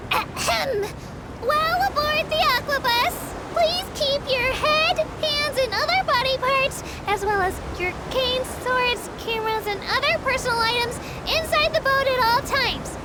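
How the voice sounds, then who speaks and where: A woman's voice: extremely high pitch, very noisy. A woman voicing a small childlike female creature who works as a polite, eager, bashful tour guide in a video game. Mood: flustered, apologetic. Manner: polite, eager, self-deprecating, easily flustered.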